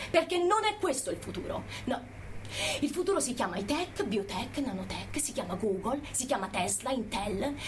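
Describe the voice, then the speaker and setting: An actress playing a passionate, indignant Italian activist in an emphatic, accusing political theatre monologue. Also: female voice, high voice, sharp tone, slight background noise. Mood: insistent. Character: indignant Italian activist woman living in California, pleading passionately.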